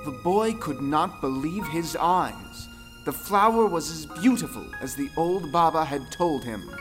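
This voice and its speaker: A man narrating a folktale audio play with dramatic, expressive storytelling. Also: fairly high pitch, unhurried. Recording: long reverb, music underneath.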